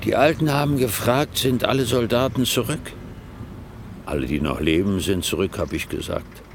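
A man's voice: on the low side, moderate pace, a little hiss or hum, close to an everyday voice. An actor playing an earnest village farm cooperative chairman, urging his friend in a radio drama. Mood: urgent, reproachful.

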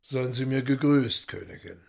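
A hoarse, imperious, courtly devil. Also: male voice, mid-range voice, normal speed. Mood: courteous. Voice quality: hoarse, gravelly. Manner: courtly formal phrases mixed with gruff scolding. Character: Woland, the devil, imperious, mocking, courteous lord. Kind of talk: radio drama acting.

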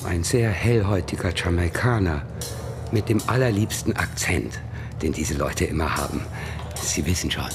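A man's voice: sits low, moderate pace, music in the background. An actor playing a coy, evasive male witness under police questioning in a German radio crime drama, by turns dreamy and defensive.

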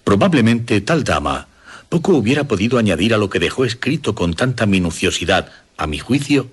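An actor playing a solemn Victorian editor, reading a literary prologue in a formal, measured and grave manner with a husky voice. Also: male voice, on the low side, sing-song. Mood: solemn, regretful.

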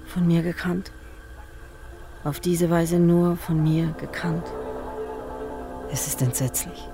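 Grieving wartime woman, subdued, even delivery. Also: lowish voice, slow pace. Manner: sparse, fragmented, inward narration in short phrases. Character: grieving woman waiting for her missing man in wartime. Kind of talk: German radio drama, literary monologue. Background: music bed.

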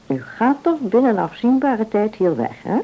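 Tactful boss's wife, radio play: mellow tone, very lively intonation, deliberate, courteous, quietly in control. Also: female voice, fairly high pitch, low-quality recording. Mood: gently probing. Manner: polite, deliberate, gently probing. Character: tactful, probing, self-assured wife of a shop owner. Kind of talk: naturalistic radio play acting.

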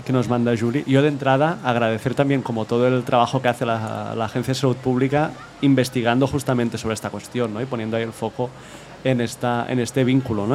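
Even-toned male host outdoors. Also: medium pitch. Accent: Spanish, from Spain. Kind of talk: casual panel moderation. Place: outdoors on a busy city street, live. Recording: faint outdoor city noise.

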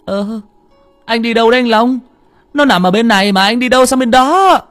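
A man voicing a panicky young male truck driver's mate who wails frantically in a high, strained voice. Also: lively intonation, fairly quick, fairly bright tone, no room sound, faint music behind. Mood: puzzled. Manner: shrill, frantic wailing.

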